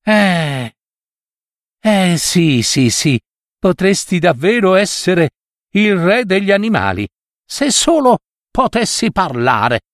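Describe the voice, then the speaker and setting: A man voicing a sly, flattering fox with a wheedling, drawn-out manner. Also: sits high, dry recording, clean studio sound, voice pushed up, heavily acted. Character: sly flattering vixen, sweet-talking and mocking.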